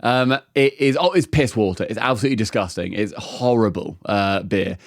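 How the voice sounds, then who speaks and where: A man's voice: on the low side, normal speed, dry recording, clean studio sound. Rambling man telling a story. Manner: rambling, many ums, laughing asides. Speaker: podcast co-host. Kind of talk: casual storytelling chat.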